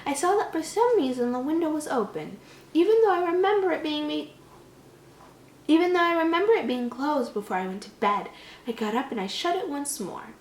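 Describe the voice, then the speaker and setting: A woman voicing a frightened young boy who recounts an attack in a hushed, dramatic storytelling manner. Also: high voice, expressive, brisk, distant mic, pitched above the performer's own voice. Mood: uneasy. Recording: echoey room.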